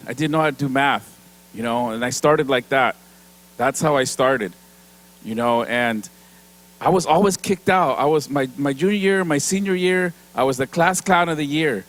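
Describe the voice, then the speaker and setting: A middle-aged man speaks in a high, light voice. He gives a motivational talk at an event, sprinkles in Spanish phrases, and keeps it animated and funny. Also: poor audio. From Mexican-American, from San Diego. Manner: animated, mixes Spanish phrases, laughs while telling stories. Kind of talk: motivational speech with comedy.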